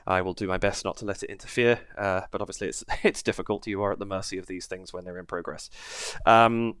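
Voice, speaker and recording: A man's voice: deep voice, steady tone, fast, clean tone, dry recording. A man hosting a song reaction video, talking casually with many ums and uhs while stuffed up with a head cold. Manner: chatty, many ums and uhs, warm thanks to viewers. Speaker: reaction channel host.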